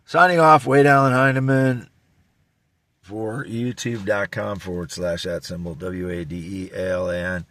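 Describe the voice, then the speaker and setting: A man vlogging casually, with a scratchy, slightly constricted voice and a rambling, halting way of talking. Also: on the low side, fairly even intonation, fairly bright tone, dry recording. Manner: rambling, halting delivery with restarts. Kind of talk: casual self-recorded vlog.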